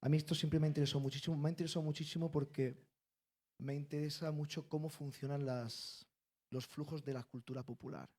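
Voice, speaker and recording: A man's voice: a little high, steady tone, fast, darkish tone. A man from the flamenco world giving a lecture-style panel talk, intellectual and emphatic, repeating key phrases. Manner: intellectual, emphatic repetitions, builds arguments. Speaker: panel speaker, flamenco researcher.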